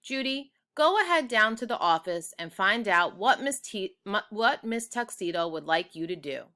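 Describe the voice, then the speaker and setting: A woman's voice: mid-range voice, very animated, normal speed, pushed and bright. A woman voicing a kindly, upbeat male third-grade teacher, friendly and reassuring, in a children's storybook. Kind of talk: children's storybook read aloud.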